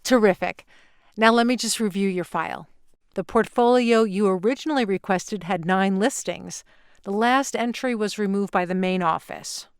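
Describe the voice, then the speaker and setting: A woman's voice: mid-range voice, moderate pace, clean tone, no room sound, studio quality, natural voice. A woman playing a pushy, evasive real estate agent in an audio drama, with a salesy, reassuring manner. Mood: businesslike.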